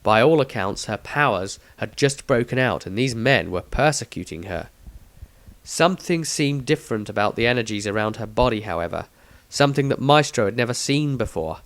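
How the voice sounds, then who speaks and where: A man's voice: medium pitch, expressive, normal speed, fairly bright tone, dry recording. A man reading a scripted fantasy story as its narrator. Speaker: author and narrator.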